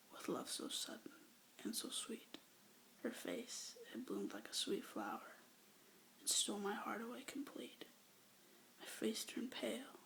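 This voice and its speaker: A young woman whispering love poems aloud with hesitant, rambling asides between them, breathy and soft, close to a phone or webcam mic. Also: medium pitch, low-quality recording. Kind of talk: whispered poetry reading, ASMR-like.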